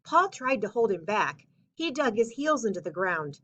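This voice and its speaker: Female storybook reader: bright, very animated, expressive, children's read-aloud style. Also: medium pitch, moderate pace, muffled recording.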